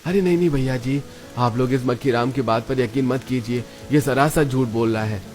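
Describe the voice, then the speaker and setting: A man's voice: mid-range voice, normal speed, a little mellow, natural voice. A man voicing a poor, honest, earnest street food vendor in a cartoon story. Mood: pleading. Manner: earnest, pleading. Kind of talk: Hindi cartoon story dialogue. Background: background music.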